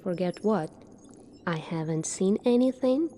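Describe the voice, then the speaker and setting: Slow, soft-spoken woman in ASMR doctor roleplay. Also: medium pitch, animated, slight background noise. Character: calm, secretive futuristic military doctor.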